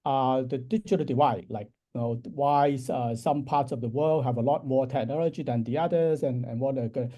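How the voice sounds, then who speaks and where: Mellow older man from Hong Kong. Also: mid-range voice, moderate pace, hardly any pauses, lo-fi sound. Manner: thoughtful, explanatory, frequent restarts and soft laughs. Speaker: university professor, interview guest. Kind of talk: unscripted interview answers.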